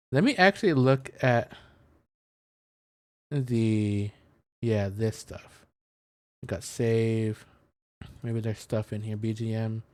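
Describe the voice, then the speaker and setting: A video game streamer giving casual, rambling play-by-play commentary, muttering to themself and trailing off. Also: mid-range voice.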